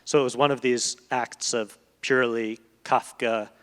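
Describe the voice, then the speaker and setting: A man speaking as a guest translator in a literary discussion, explaining thoughtfully in long, halting sentences. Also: medium pitch, steady tone, fairly bright tone. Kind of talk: literary discussion before an audience. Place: large event hall, live public talk. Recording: echoey hall with long reverb.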